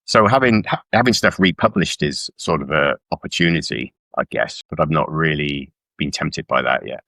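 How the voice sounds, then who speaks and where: A man's voice: extremely low pitch, moderate pace, gravelly, sharp tone, no room sound, studio quality. A middle-aged man from South Wales chatting informally as a guest author in an interview, with a self-deprecating manner. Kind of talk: casual interview chat about work.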